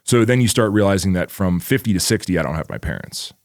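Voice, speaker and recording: Podcast co-host, male, around forty, very low voice, dry close sound, loose rambling delivery with frequent cursing. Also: animated, quick pace, darkish tone, no room sound. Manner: rambling, swears freely, many "like" fillers. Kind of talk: casual chat.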